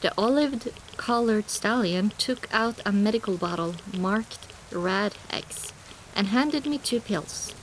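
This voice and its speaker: A woman's voice: mid-range voice, slow pace, a little hiss or hum, low-quality recording. A woman reading dramatic first-person scripted narration for an audiobook.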